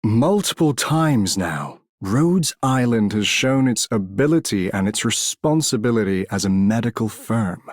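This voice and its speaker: A man voicing a curious, formal game character with a husky, deliberate delivery. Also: lowish voice, lively intonation, no room sound, studio quality. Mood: measured, curious. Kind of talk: scripted game character voice line.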